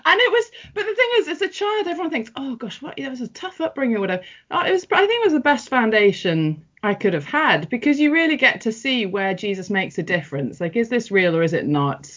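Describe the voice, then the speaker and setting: A middle-aged woman from North West England, talking through her life in a relaxed, reflective interview with frequent ums and restarts. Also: fairly high pitch, quick pace, hardly any pauses. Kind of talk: personal interview. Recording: muffled, dull audio.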